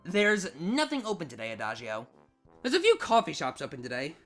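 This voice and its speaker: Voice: mid-range voice, wildly swooping pitch, brisk, fairly bright tone, faint music behind. A performer voicing an irritable, bossy female siren with a sarcastic, exasperated manner for a story reading. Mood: irritated. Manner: sardonic, snapping, exasperated.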